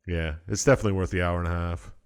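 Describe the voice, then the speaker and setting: A man's voice: low-pitched, steady tone, rapid-fire, clean tone. A man co-hosting a podcast in casual chat, laid-back and blunt, often giving short answers. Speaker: podcast co-host.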